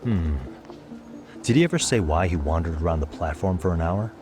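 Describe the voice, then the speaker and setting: An actor playing a calm, sharp male detective in a video game cutscene, talking through a case in a level-headed, deductive way. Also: low-pitched, fairly quick. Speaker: private detective. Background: background music.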